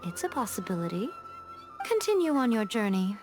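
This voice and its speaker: A sly fox shrine maiden from a video game. Her voice is on the high side, elegant and composed, with formal, worldly-wise phrasing and a playful teasing edge. Game music plays behind her. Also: sing-song, fairly quick. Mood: solemn, wise. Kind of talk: scripted video game dialogue.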